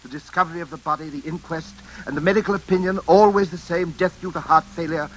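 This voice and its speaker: An actor playing a nervous, suspicious, fussy bachelor gentleman with a British accent and hesitant, formal speech in an old-time radio drama. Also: fairly high pitch, quick pace, lightly acted. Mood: uneasy, probing. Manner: hesitant, stammering, proper and formal. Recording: noisy vintage radio drama recording.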